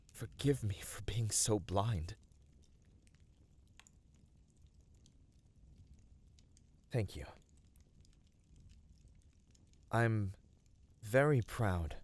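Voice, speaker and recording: Slow, low-voiced, devoted fantasy adventurer played by a man. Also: breathy edge, exaggerated voice. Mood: hushed, sorrowful. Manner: slow, earnest, soothing, dramatic pauses. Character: gentle, devoted male Viera battle dancer, loyal adventuring companion. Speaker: voice actor. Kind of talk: ASMR fantasy roleplay monologue.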